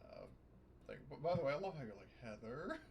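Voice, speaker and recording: A man's voice: medium pitch, sing-song, a little fast, gravelly, husky. Casual man playing a tabletop game. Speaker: player in a tabletop roleplaying game. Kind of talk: casual game chat, describing own character's actions.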